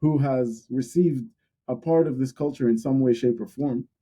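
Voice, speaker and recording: A young man co-hosting a podcast, talking in a relaxed, candid way and repeating words while he thinks. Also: medium pitch, moderate pace, slightly rough. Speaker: podcast co-host. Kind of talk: casual podcast discussion.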